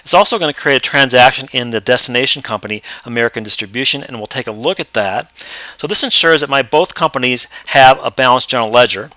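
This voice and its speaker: Guy demoing accounting software step by step, bright voice, dry close mic. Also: mid-range voice, fast talker, dry recording. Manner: plain step-by-step explaining. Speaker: software trainer. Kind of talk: screen-recorded software tutorial.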